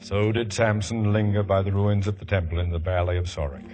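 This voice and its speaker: An actor as a solemn biblical storyteller, narrating in archaic prose for a 1950s radio drama. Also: male voice, fairly low pitch, little pitch movement, brisk, close to an everyday voice. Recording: vintage radio broadcast with music underneath.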